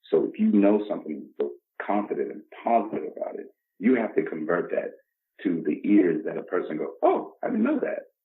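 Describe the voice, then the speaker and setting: A man's voice: sits low, huge pitch swings, fairly quick. A man, talking casually and motivationally as a podcast guest, emphatic and given to acting out dialogue. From the Bronx, New York, United States. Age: 50s. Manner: emphatic, acts out imagined dialogue. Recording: dull, muffled lo-fi recording.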